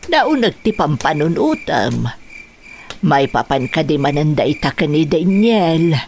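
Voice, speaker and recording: Woman acting a slow-speaking, concerned older mother. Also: sits low, sing-song, a little mellow, slight background noise, heavily acted. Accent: Ilocano. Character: concerned, gentle older mother counseling her son. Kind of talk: Ilocano radio drama acting.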